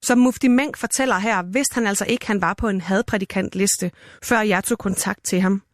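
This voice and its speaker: A woman's voice: medium pitch, normal speed, no room sound, studio quality. A woman with a Danish accent working as a journalist, interviewing and narrating for radio.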